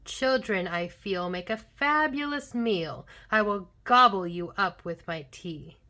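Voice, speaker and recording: A woman voicing a grumpy, hungry male giant from a children's storybook, chanting loudly and relishing rhymed threats. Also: medium pitch, lively intonation, takes it slowly. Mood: loud, gloating.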